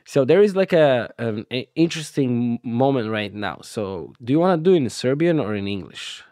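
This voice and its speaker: A man's voice: medium pitch, lively intonation, moderate pace, dark tone, no echo, studio quality. A man hosting a podcast interview, talking casually and loosely, with many fillers. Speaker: podcast host. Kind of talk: conversational interview.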